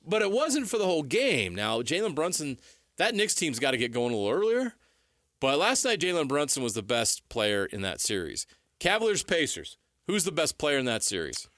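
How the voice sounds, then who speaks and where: A man's voice: on the high side, very animated, moderate pace, fairly bright tone, dry recording, clean studio sound. An American man co-hosting sports talk, giving emphatic analysis in short punchy statements and questions. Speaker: sports radio co-host.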